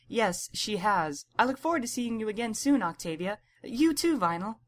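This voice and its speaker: A woman's voice: medium pitch, animated, moderate pace, close to an everyday voice. A woman voicing a polite, kindly female pony receptionist in an audiobook, with a friendly manner. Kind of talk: audiobook character acting.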